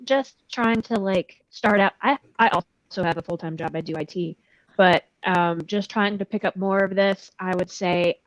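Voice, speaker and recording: A woman's voice: on the low side, poor audio. A woman chatting casually as a panelist among voice actors. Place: indoors on a video call.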